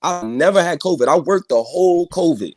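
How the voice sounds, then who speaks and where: A man's voice: on the high side, lively intonation, brisk. A young man on a remote call, insistently explaining himself in casual discussion, often repeating and restarting. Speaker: guest on a panel stream.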